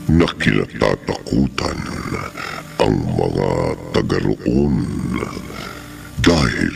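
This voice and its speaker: Very deep, gravelly horror storyteller. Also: male voice, heavily acted. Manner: slow, drawn-out, ominous delivery. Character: sinister male horror storyteller, menacing and theatrical. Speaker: narrator and host of a horror radio drama. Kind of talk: scripted horror radio drama narration. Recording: loud music under the voice. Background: music.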